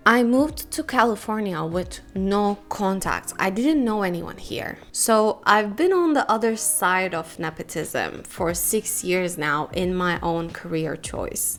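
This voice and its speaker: A young woman from Turkey hosting her own podcast, talking candidly and emphatically in a solo monologue. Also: gravelly. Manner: candid, emphatic, stresses words with rising pitch. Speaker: podcast host.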